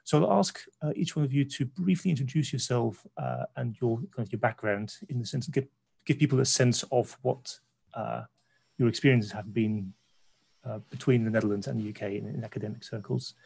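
A man's voice: medium pitch, fairly quick, vocal fry, a little mellow, breathy edge. A man in his forties politely moderating an academic webinar panel on a video call, with frequent hesitations.

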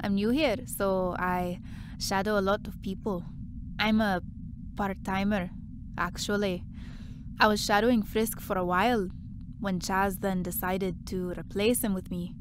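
A woman's voice: mid-range voice, fairly even intonation, a little slow, noisy background, close to an everyday voice. A woman playing a breezy, blunt young part-time worker in an audio drama, chatty and offhand. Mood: chatty, hesitant.

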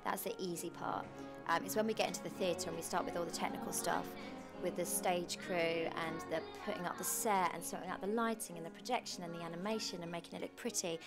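A woman's voice: on the low side, fairly even intonation, fast, loud music behind the voice. A young British woman talking informally and at length in a documentary interview, as a director of stage shows. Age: young adult. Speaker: pantomime director and producer. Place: small costume room indoors.

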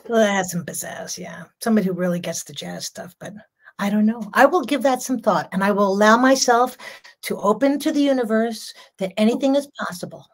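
A woman's voice: medium pitch, brisk. Older woman, strong voice, rambling and laughing, home video call. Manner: chatty, laughs often, rambling. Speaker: jazz singer, guest. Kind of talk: casual video-call interview. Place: home room.

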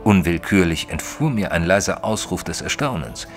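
Deep-voiced gentleman narrator. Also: lively intonation, music in the background. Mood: measured narrating. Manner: formal, measured literary storytelling. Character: male narrator Mister Tristram, educated, observant, easily flustered gentleman. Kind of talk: scripted first-person audio drama narration.

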